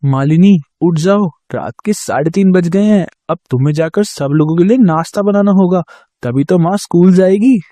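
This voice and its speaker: A man voicing an easygoing young husband who talks gently and coaxingly. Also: high-pitched, normal speed, dry recording, studio quality, lightly acted.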